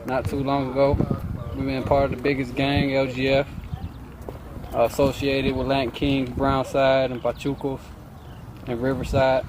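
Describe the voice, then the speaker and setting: A man talks to an interviewer outside, terse and plain-spoken. Clear background noise from the surroundings runs under his words. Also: mid-range voice, completely flat delivery, moderate pace.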